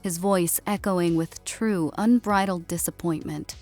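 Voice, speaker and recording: A woman's voice: on the low side, a little slow, a little mellow, dry recording, faint music behind. A woman reading scripted story narration as an audiobook narrator.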